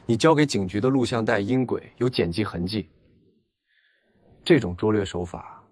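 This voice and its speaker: Man as a low, husky, restrained police captain. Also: expressive, normal speed, a little mellow, no echo. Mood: cool, probing. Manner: controlled, probing, matter-of-fact. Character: restrained, shrewd young male police captain. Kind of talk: Chinese animated audio drama acting.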